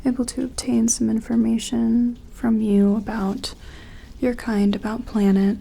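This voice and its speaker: A woman doing a gentle ASMR roleplay as a careful scientist examining an alien, speaking softly and often slipping into a whisper, hesitant and reassuring. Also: fairly high pitch, level tone, a little slow. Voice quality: soft, breathy, often dropping to a whisper.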